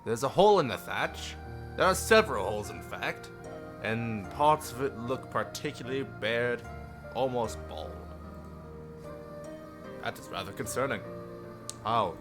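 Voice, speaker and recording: A man's voice: medium pitch, animated, a little slow, pauses often. A man livestreaming, reading a visual novel aloud with joking asides and stumbling over the text now and then. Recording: music playing behind the voice. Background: music.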